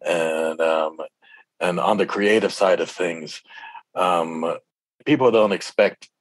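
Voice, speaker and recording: Male musician interviewee, deep husky voice, halting and rambling, reflective, dry close recording. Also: dry recording. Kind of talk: casual reflective interview talk.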